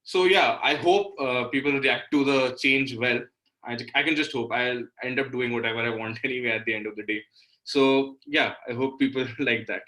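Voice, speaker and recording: Male interview guest, Indian accent, bright tone, mid-pitched, conversational and informal. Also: moderate pace, poor audio. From Delhi, India. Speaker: guest, indie musician. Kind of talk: casual interview talk.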